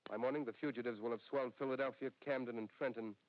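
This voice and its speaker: An actor playing a solemn, formal radio announcer giving grave news in a 1930s radio drama. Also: male voice, medium pitch, steady tone, fast talker, close to an everyday voice. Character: grave male radio announcer of a national disaster. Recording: dull 1930s radio broadcast recording.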